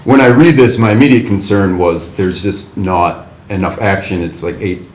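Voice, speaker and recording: A man's voice: low voice, normal speed. A man in a writers' group, thinking aloud as he critiques a script in a casual discussion. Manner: thinks aloud, rambling with restarts. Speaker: writers' group member giving feedback. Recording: roomy table recording, faint background noise.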